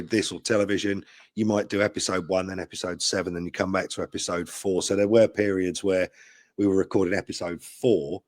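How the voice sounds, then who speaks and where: A man's voice: low voice, no echo. A man who works as an actor, answering interview questions casually in a thoughtful, explanatory way. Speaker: actor with a theatre background.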